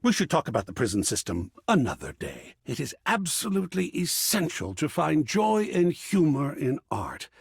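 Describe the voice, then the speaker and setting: A man voicing a flamboyant, pretentious male gallery curator in a video game, passionate and theatrical, with a gravelly, husky voice, savoring his words dramatically. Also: on the high side, huge pitch swings, normal speed, few pauses, no echo, studio quality, exaggerated voice. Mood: delighted, playful. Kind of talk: theatrical game character dialogue.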